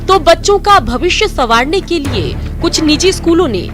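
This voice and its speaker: A woman news narrator with an Indian accent reads scripted reports in a high voice. Clear music plays underneath her voice-over. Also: very animated, normal speed.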